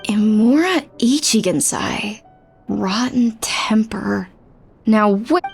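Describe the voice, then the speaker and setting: Sarcastic lady clerk, mid pitched, opinionated, game dialogue with music under it. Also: expressive, takes it slowly, vocal fry, slightly rough, heavily acted. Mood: wry. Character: blunt, sardonic female clinic clerk. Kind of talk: video game voice acting.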